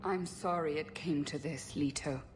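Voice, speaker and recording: A woman acting a low-pitched, regretful elf sister. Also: normal speed, slight background noise, natural voice. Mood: hesitant, regretful. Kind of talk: video game voice acting.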